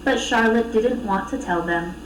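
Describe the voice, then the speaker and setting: A woman reading a children's storybook aloud with expressive narration. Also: on the high side, normal speed, far from the mic. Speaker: storybook reader. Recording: echoey room, off mic, faint noise.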